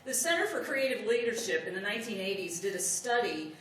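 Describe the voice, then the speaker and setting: A woman's voice: medium pitch, big pitch swings, brisk, a bit bright, slight background noise. A woman speaking on negotiation at a conference, leading the audience with call-and-response prompts. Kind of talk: conference keynote with audience exercise. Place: large conference hall. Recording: echoey hall, distant mic.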